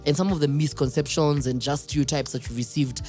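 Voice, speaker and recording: Man, podcast host, slightly high voice, thoughtful questions, faint music underneath. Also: fairly even intonation, a little mellow. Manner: thoughtful, drawn-out questions with frequent affirming "yeah". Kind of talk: casual interview chat.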